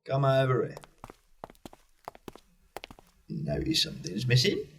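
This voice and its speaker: An actor playing a level-headed, inquisitive police detective in an amateur radio play. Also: male voice, mid-range voice, wildly swooping pitch, a little fast, fairly bright tone, a little hiss or hum. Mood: excited, pointed.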